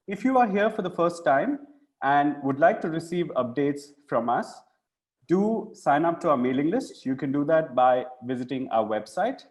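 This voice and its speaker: A man with an Indian accent giving a formal welcome and announcements as an event host. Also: on the high side.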